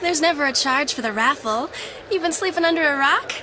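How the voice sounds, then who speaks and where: A woman's voice: high voice, lively intonation, brisk. An actress playing a perky, eager young woman at a fair in a video game, chirpy in manner. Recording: crowd and noise behind.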